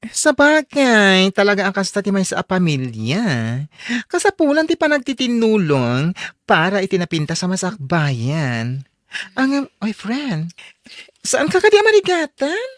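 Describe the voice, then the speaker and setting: A young, sympathetic friend in a radio drama. Her pitch swings widely in a mid register, with expressive, drawn-out exclamations and a very dry sound. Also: no echo. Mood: concerned.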